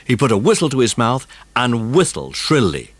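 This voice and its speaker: A man narrating a children's mystery audiobook in a suspenseful, expressive way. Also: medium pitch, moderate pace, slightly rough, no echo.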